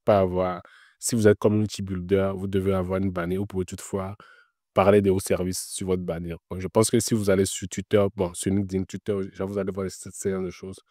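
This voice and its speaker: A man's voice: sits low, level tone, a little fast, darkish tone, no echo, studio quality. A man being interviewed, giving casual career advice in an explanatory way, repeating his points with frequent filler words. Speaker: interview guest working in Web3.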